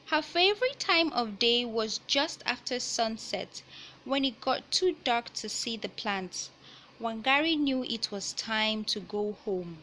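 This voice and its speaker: A woman reading a children's picture book aloud in a deliberate, teacherly way, pausing to explain and repeat key points. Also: on the high side, expressive, bright, forceful tone, a little hiss or hum, poor audio. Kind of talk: read-aloud storytelling with explanatory asides.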